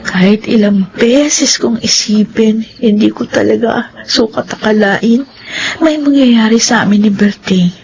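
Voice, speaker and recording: An actress in a Filipino radio drama playing a worried, lovestruck younger sister, speaking hesitantly and pensively. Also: female voice, a little high, measured pace, gravelly, darkish tone. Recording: dull radio sound, faint noise.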